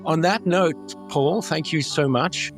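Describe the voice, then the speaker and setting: A man's voice: a little high, slow pace, soft background music. A man hosting a podcast, talking in a casual conversational interview style. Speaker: podcast host.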